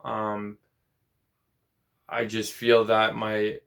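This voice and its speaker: A man in his late twenties talking in a casual personal vlog, rambling and halting, with many restarts and fillers. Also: on the low side, completely flat delivery, gravelly, a bit bright. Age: 20s. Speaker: vlogger.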